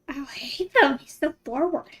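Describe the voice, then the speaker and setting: A woman's voice: very high-pitched, expressive, slow, a bit bright. A woman streaming a video game, chatting and giggling as she reacts. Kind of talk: casual gameplay commentary. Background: game music.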